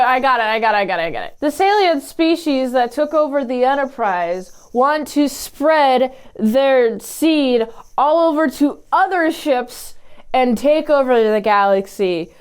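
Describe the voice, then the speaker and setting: Casual female review host: high-pitched, clean clear tone, informal and chatty delivery. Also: darkish tone. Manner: chatty, informal, playful asides.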